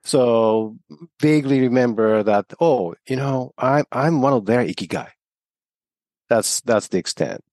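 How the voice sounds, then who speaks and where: A man of about fifty with a Japanese accent, a native Japanese speaker talking as a podcast guest in a halting, reflective way. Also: medium pitch, a little mellow, no room sound. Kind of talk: casual interview conversation.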